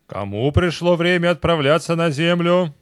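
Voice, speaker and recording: A man's voice: on the high side, normal speed, very noisy, close to an everyday voice. An actor playing a stern, commanding old man, Father Time, in an audio play. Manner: commanding, theatrical. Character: stern tall gray-haired old man, Grandfather Time.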